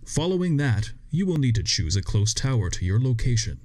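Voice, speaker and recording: A man reading a scripted instructional narration in a measured, step-by-step way as a training video narrator. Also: medium pitch, sing-song, a little mellow.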